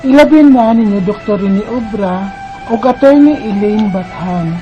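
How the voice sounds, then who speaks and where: A woman's voice: mid-range voice, a little slow, highs cut off, faint music behind, natural voice. An actress with a Filipino Visayan accent playing an earnest, trusting young woman in love in a radio drama. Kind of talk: Bisaya radio drama acting and narration.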